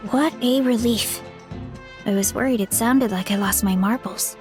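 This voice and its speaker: An earnest young woman, voiced by an actress, speaks in a high voice with some hesitation. Game music runs behind her. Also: measured pace, natural voice. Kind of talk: video game voice acting.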